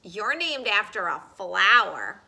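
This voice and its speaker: A woman's voice: mid-range voice, big pitch swings, takes it slowly, gravelly, bright, forceful tone, a little hiss or hum, full character voice. A woman voicing a snooty, tactless schoolgirl mouse in a picture book, pointed and know-it-all. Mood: snooty, mocking.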